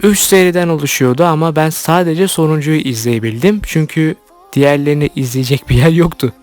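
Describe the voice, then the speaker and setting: A man's voice: fairly high pitch, fairly quick, darkish tone, no room sound. A man hosting an online video, delivering scripted commentary as narration. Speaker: YouTube host commenting on cartoons. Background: background music.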